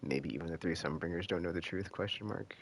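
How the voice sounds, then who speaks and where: A man with an extremely low, gravelly voice gives casual stream commentary on a game. He rambles and trails off as he thinks aloud, with faint noise behind him. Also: fast talker.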